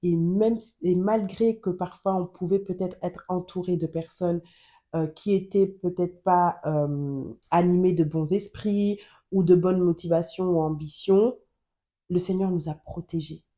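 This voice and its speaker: Earnest woman sharing faith, mellow low voice. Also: fairly even intonation, a little slow. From Germany. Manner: earnest, repeats phrases for emphasis. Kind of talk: personal testimony and Bible teaching.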